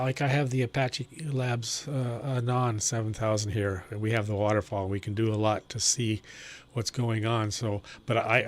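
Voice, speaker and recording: A man working as an amateur radio operator, talking in a plain, explanatory, unscripted way during a radio contact. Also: fairly low pitch. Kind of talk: unscripted ham radio conversation, technical advice. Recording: clear background noise and electrical hum.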